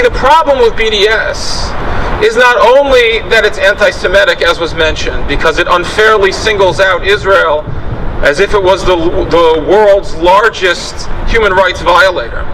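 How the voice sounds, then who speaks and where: A man, a rabbi, giving a formal, rhetorical public address with a sermon-like style. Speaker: rabbi, guest speaker. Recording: distant mic, loud room rumble.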